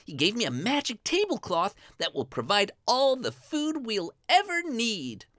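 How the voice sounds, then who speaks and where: A woman's voice: fairly high pitch, huge pitch swings, unhurried, a bit bright, no echo, clean studio sound, voice pushed up, heavily acted. A woman voicing a hot-headed, naive young boy in a children's folktale, exclaiming in an eager, whiny way. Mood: excited.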